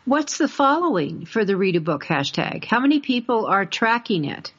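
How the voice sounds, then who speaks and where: A woman's voice: medium pitch, sing-song, moderate pace, a bit bright, slightly rough. A woman hosting a podcast interview in a folksy, emphatic, conversational way. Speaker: podcast host. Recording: dull lo-fi online audio.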